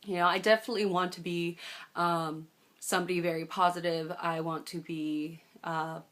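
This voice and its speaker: A woman's voice: lowish voice, level tone, moderate pace, a bit bright. A young adult American woman chatting casually to camera as she tells a personal story, bubbly, gushing and emphatic. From United States. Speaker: vlogger telling a personal story.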